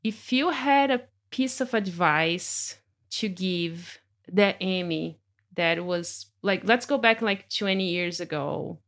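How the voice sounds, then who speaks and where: A woman photographer hosting a podcast interview in her studio, speaking gently and effusively with many fillers. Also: lowish voice, slow. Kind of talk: warm conversational interview.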